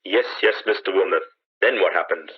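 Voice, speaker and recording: A man's voice: deep voice, brisk, breathy edge. A man playing an urgent, awed on-scene news commentator in a 1930s-style radio drama, searching for words as they describe things. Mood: brisk, impatient. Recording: dull lo-fi old radio sound.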